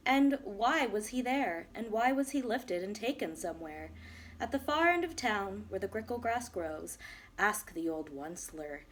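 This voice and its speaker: A woman's voice: mid-range voice, animated, normal speed, rough recording. A woman teacher reading a rhyming children's storybook aloud with plenty of expression.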